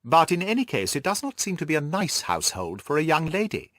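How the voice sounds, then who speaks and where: A man voicing a Victorian gentleman detective in an audiobook, measured and analytical in manner. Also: on the high side, huge pitch swings, no echo, clean studio sound, pitched above the performer's own voice. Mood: thoughtful, uneasy.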